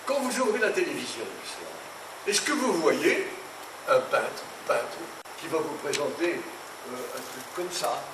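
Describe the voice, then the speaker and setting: An older man from France talks about painting in a lively, swooping voice, often indignant and wandering off into stories. He sounds higher than most men. He is heard from a distance in an echoey room with steady noise behind him. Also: a little slow. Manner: animated, indignant, digressive storyteller. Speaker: painter giving a talk. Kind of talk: rambling lecture. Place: conference hall.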